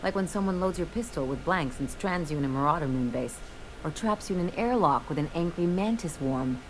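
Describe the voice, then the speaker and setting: Brash, sardonic young woman adventurer, low-pitched actress. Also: lively intonation, a little fast, slight background noise, natural voice, low-quality recording. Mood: wry, dramatic. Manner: sardonic, theatrical storytelling. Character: brash young adventurer woman, bitter, dramatic, estranged from parents. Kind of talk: video game voice acting.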